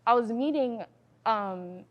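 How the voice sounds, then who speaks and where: A woman student talks in a casual panel discussion, her voice on the higher side. She speaks reflectively and pauses to find her words. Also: dull audio. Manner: reflective, halting.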